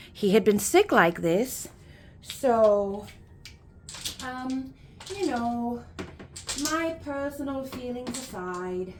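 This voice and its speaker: A woman's voice: mid-range voice, a little mellow, slight background noise. A woman putting on a chatty, homely persona with a mock Irish or Scottish lilt, rambling with folksy phrases and many fillers. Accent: put-on Irish or Scottish. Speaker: YouTuber. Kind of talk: casual chat.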